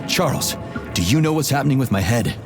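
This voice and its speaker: A man playing a gruff, irritable, foul-mouthed Soviet army major in a video game, blunt and sardonic. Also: medium pitch, animated, fairly quick, music in the background, natural voice. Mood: alarmed, suspicious.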